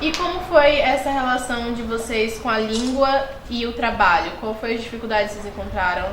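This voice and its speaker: A woman interviewer asking careful, measured questions. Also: fairly high pitch, roomy sound, background noise.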